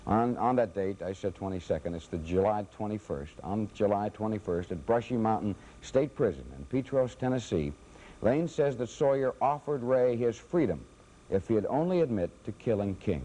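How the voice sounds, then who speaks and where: A man's voice: fairly low pitch, animated, moderate pace, dark tone, poor audio. An American man anchoring a newscast, introducing reports and talking straight to viewers. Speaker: television news anchor. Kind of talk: newscast presenting.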